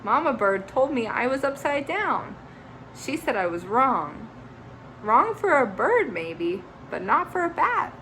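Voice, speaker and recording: A woman voicing a young female bat, earnest and bewildered, in a lively storybook manner. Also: sits high, a little slow, slight background noise, pitched above the performer's own voice, full character voice, rough recording. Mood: indignant.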